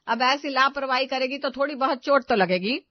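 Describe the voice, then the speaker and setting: A woman's voice: high-pitched, brisk, dry recording, lightly acted. A woman playing a bossy, thrifty middle-aged mother-in-law in a family story, chiding and emphatic, in a slightly dull recording. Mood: scolding.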